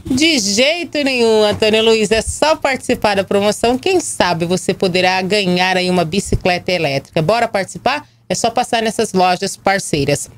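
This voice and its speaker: A Brazilian woman in her thirties presenting live local news, her voice hoarse from a cold. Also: medium pitch, normal speed. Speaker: TV and radio news anchor. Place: small indoor news studio. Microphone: gooseneck desk microphone.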